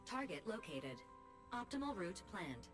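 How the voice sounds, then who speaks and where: A woman's voice: medium pitch, very animated, a little slow. A woman voicing a composed artificial intelligence navigation assistant in a video game. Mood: composed, efficient. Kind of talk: video game system announcements. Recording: loud game music over the voice.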